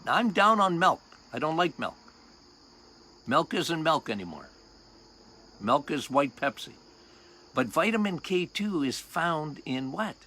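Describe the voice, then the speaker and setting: An older man with a loud voice, a doctor hosting a health podcast outdoors, talking casually and emphatically, repeating key points and quizzing his listeners. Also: a little high, slight background noise. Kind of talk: casual health talk monologue.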